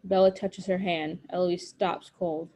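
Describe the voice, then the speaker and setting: A woman reading scripted stage directions as narrator of a drama, in short, measured phrases. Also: on the low side, level tone, darkish tone.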